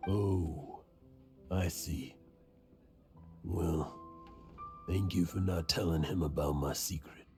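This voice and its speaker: An actor playing a weary, guilt-ridden old drunk adventurer in a video game, brooding and melodramatic with halting delivery. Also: male voice, low voice. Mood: sorrowful, confessional. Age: older. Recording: game dialogue under music.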